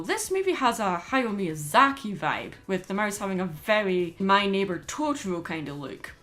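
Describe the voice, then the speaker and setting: Higher-pitched woman narrating a video essay. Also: fairly bright tone. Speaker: YouTube film reviewer and host. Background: background music under voice-over.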